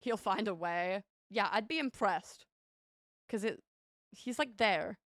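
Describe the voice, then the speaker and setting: A woman's voice: medium pitch, slow pace, fairly bright tone, no room sound, clean studio sound. A young woman from the UK with a light British accent that leans a bit American, chatting casually and playfully with her stream viewers. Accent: light British, partly American-sounding. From United Kingdom. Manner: bubbly, giggly, playfully sassy. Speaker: streamer, VTuber artist. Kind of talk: casual live-stream chat reading viewer messages.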